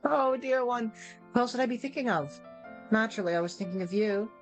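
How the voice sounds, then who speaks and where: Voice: mid-range voice, brisk, soft background music, pitched above the performer's own voice, close to an everyday voice. A reader voicing a cheerful, girlish young princess from a visual novel, sweet, playful and teasing. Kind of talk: visual novel character read-aloud.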